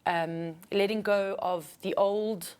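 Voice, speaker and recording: A woman's voice: steady tone, slow pace, darkish tone, clean tone. South African woman, casual interview guest. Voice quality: low register. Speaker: singer and musician guest.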